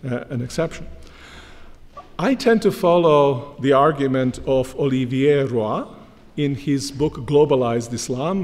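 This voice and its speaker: Middle-aged male lecturer, emphatic, mid-pitched voice, long hall echo. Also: a little mellow. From Croatia. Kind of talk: academic lecture. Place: large lecture hall, standing at a lectern. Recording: long hall reverb from lectern mic.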